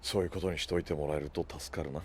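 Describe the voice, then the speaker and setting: A shrewd, self-possessed leader with a low voice. He speaks coolly and dryly in measured phrases, in anime audio drama style. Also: steady tone, breathy edge. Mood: composed. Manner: cool, measured, dry. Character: composed, shrewd adult man, dryly teasing leader.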